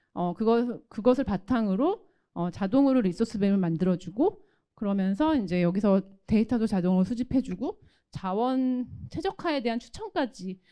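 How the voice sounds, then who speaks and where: A woman's voice: on the high side, dark tone. A woman giving a conversational, explanatory tech product presentation and demo. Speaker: tech product presenter from a company's automation division.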